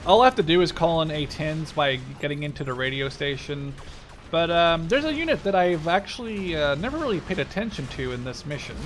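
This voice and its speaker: Casual young man, slightly high voice. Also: big pitch swings, a little fast, slight background noise. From Netherlands. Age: 20s. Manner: enthusiastic, informal, swearing. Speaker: gaming video host. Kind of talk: casual, rambling game commentary.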